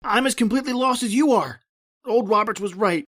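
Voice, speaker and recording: An actor playing a steady male companion who talks earnestly and gravely in an old-time radio horror play. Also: sits high, a little fast, no echo, clean studio sound.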